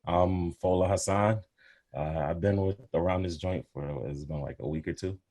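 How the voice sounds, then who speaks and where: A man's voice: deep voice, normal speed, dark tone. A man introducing himself casually as a cast member on a group call. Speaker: voice actor.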